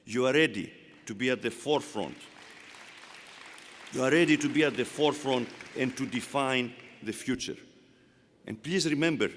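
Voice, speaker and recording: A man with a Greek accent giving a formal, measured commencement address to graduates. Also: mid-range voice, a bit bright. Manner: measured, emphatic oratory. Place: large indoor arena. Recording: long arena reverb.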